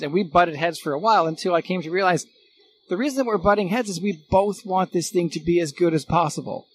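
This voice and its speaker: A man's voice: on the high side, a little hiss or hum, rough recording. A middle-aged man in a casual unscripted conversation as a guest, thinking aloud as he goes. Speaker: former radio recording engineer, podcast guest.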